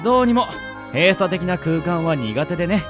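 An actor playing an easygoing, roguish fencing instructor in a radio drama, smoothly polite and laid-back, with music behind him. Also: male voice, high-pitched, expressive, moderate pace. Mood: breezy, teasing. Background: background music.

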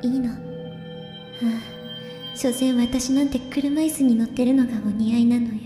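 A woman's voice: fairly high pitch, fairly even intonation, moderate pace, dark tone, faint music behind, lightly acted. A woman playing a sweet, easily wounded young girl in an amateur comedy radio drama, with a cutesy, polite, melodramatic manner. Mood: wounded, self-pitying.

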